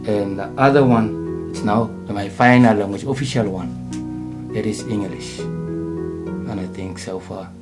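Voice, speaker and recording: A staff member, a man whose first language is Afrikaans, giving an unhurried interview answer and listing languages with examples. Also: fairly low pitch. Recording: loud music behind the voice.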